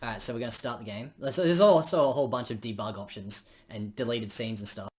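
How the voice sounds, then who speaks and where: A woman's voice: very deep voice, quick pace, a little mellow. A woman streamer chatting casually about a game that she made. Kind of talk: casual chat while playing own visual novel.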